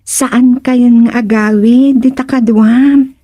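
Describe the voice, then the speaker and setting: A woman's voice: on the high side, fairly even intonation, slow pace, mellow, no room sound. A woman playing a mysterious frog princess turned beautiful young woman, theatrical, in a comedy radio drama. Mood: plaintive.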